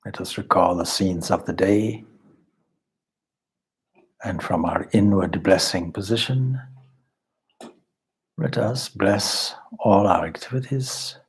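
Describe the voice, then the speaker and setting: Male meditation guide: very slow delivery, heavily breathy and husky, calm and instructive. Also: sits low, rough recording. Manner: calm, measured, instructive with deliberate pauses. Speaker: swami leading a guided meditation.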